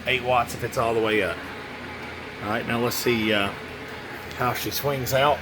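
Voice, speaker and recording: A man's voice: medium pitch, steady tone, unhurried. Man rambling casually, noisy recording. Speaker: radio seller demonstrating a CB radio. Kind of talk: casual hands-on product demo.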